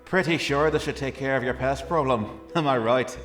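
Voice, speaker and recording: A man's voice: medium pitch, moderate pace, a bit bright, natural voice. Man acting a smug arms dealer, echoey. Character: smug, salesman-like male underworld arms dealer. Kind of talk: radio drama acting. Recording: echoey, music underneath.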